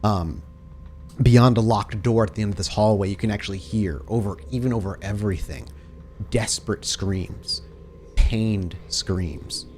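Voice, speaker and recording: A man narrating a tabletop horror game as storyteller, with dramatic, deliberate scene-setting. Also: fairly low pitch, a little mellow, a little hiss or hum.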